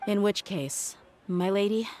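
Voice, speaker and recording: A woman's voice: mid-range voice, measured pace, mellow, slight background noise, natural voice. An actress playing a proud, steadfast young woman mercenary in a video game, composed, firm and earnest. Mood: grateful, resolved. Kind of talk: video game character dialogue.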